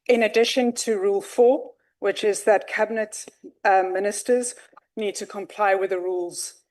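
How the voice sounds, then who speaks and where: A South African woman addresses the chamber as a member of parliament. She is formal and assertive, raising points of order on procedure in a steady, mid-pitched voice.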